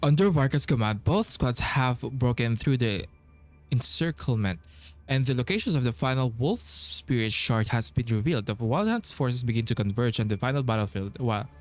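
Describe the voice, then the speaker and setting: A man doing a casual game playthrough, reading the on-screen text aloud in a halting way. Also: mid-range voice, animated, faint music behind. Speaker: game streamer.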